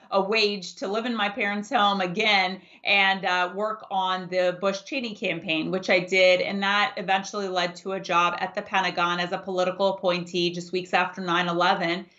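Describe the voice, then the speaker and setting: A woman in her forties, a native Farsi speaker raised in the United States, giving steady, emphatic answers as a political candidate in an interview. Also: mid-range voice, moderate pace, hardly any pauses. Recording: dull lo-fi audio.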